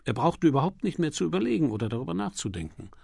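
A man reading a crime novel as an audiobook narrator, with a dramatic, deliberate delivery and emphatic pauses. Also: fairly high pitch, sing-song, creaky, darkish tone, slightly rough, dry recording, studio quality.

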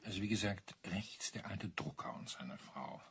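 A talkative landlord in a crime radio play, savouring every odd detail. His lowish voice is very husky and breathy, and it swoops in lively fashion as he explains. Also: normal speed, heavily acted. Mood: chatty, explaining. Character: talkative male landlord amused by eccentric neighbours. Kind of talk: German crime radio drama acting.

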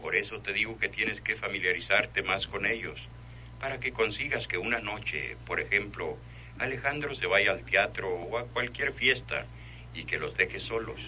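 An actor with a Mexican accent playing a menacing, commanding crime boss in a radio drama, threatening and sardonic. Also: male voice, on the low side, moderate pace, bright, forceful tone, slight background noise, poor audio. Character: menacing male crime boss ordering a killing. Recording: buzzy old radio drama recording.

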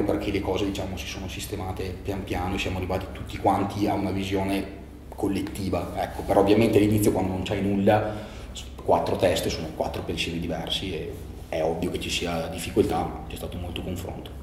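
Mellow, low-voiced young man, roomy sound. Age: 20s. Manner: relaxed, searching for words with fillers and restarts. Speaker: young filmmaker interviewee. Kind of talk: casual interview answers. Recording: roomy echo with faint background noise.

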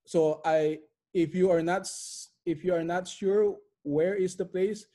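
A man's voice: sits high, fairly even intonation, a little slow, mellow. A middle-aged man answering interview questions conversationally as a guest, repeating phrases for emphasis. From immigrant to Canada. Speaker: interview guest, real estate investor. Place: bright living room at home.

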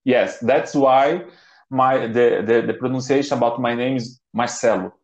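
A Brazilian man in his thirties, a native Portuguese speaker, talks through an online English lesson in halting English with many restarts. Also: mid-range voice, fairly even intonation. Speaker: English student. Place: home office with bookshelves. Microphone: laptop webcam mic on a video call. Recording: roomy, dull video-call audio.

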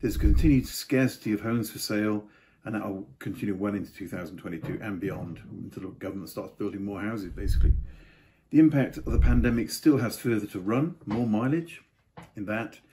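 A man's voice: lowish voice, a little fast, warm tone, slight background noise, rough recording. An older man giving a casual market update to camera from a home study, with offhand asides. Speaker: property market commentator.

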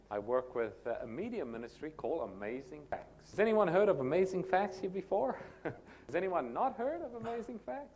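South African man preaching in a church. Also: a little high. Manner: friendly, joking, conversational. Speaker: guest pastor. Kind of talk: sermon introduction. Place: church hall, indoors. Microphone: handheld microphone.

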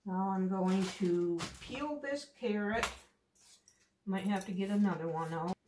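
Middle-aged lady narrating kitchen prep, mid pitch, step by step, camera across the room. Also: unhurried, a little mellow, slight background noise. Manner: talks to herself step by step, in short remarks. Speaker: home cook vlogger. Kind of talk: casual narration while cooking. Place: home kitchen, indoors. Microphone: camera across the counter. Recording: echoey kitchen from a distance. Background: clinking and chopping noises.

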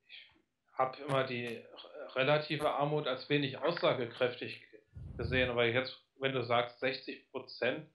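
A male radio host, measured, asking pointed questions in a political interview. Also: medium pitch, level tone, a bit bright, distant mic.

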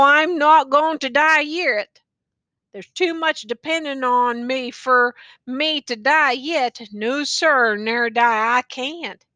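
A woman's voice: sits high, pushed and bright, dry recording, exaggerated voice. A woman voicing a weary, ragged male soldier who talks in a rural dialect and jokes through his pain. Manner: rural dialect, drawling and musing.

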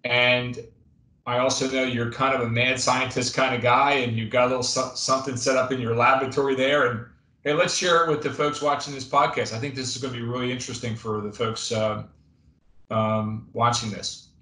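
A man's voice: medium pitch, little pitch movement, fairly quick, pushed and bright. Man, 50s, friendly rambling podcast host on a webcam call, echoey home office. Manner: friendly, rambling, lots of fillers and restarts. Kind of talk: casual interview chat. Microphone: webcam on video call. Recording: roomy video call audio.

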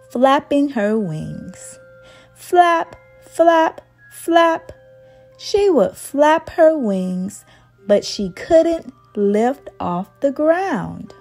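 Give it a woman storyteller with a high, very animated voice, reading for small children. She takes her time, very slowly and expressively, in a singsong way. Soft music plays behind her. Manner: slow, expressive, singsong delivery. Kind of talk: read-aloud storytelling for young children. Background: background music.

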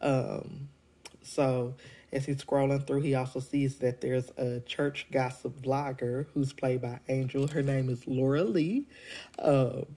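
Deep-voiced woman with a head cold. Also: steady tone, takes it slowly, mellow, husky. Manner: chatty, laughs often, many ums. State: congested from a cold. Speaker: show reviewer. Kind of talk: casual vlog review. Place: indoors at home. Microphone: phone held at arm's length.

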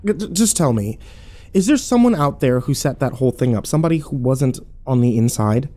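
A man playing a weary, sarcastic survivor, mellow voice. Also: mid-range voice, lively intonation, brisk, lightly acted. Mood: tense, sarcastic. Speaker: actor and narrator. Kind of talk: first-person audio drama narration and dialogue.

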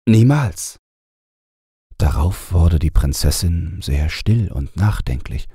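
A man's voice: low voice, unhurried, warm tone, slightly rough, dry recording, clean studio sound. A man reading a scripted bedtime fairy tale as an audiobook narrator.

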